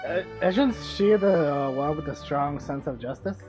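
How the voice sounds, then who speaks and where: A woman's voice: low-pitched, animated, normal speed. A woman chatting casually as she streams a game, musing aloud hesitantly. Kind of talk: casual gameplay chat. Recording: dull microphone, faint game music behind.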